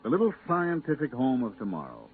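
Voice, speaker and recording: A man's voice: mid-range voice, wildly swooping pitch, brisk. An actor playing a weary, bitterly sarcastic man stuck at a moon base, curt and grumbling, in 1950s radio drama style. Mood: sour, sarcastic. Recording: tinny 1950s radio recording, faint music.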